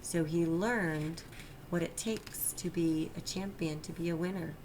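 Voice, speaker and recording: A woman reading a children's story aloud like a teacher, expressive and deliberate, stressing the key phrases. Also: on the low side, background noise. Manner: expressive, deliberate, emphasizes and repeats key lines. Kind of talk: children's read-aloud narration.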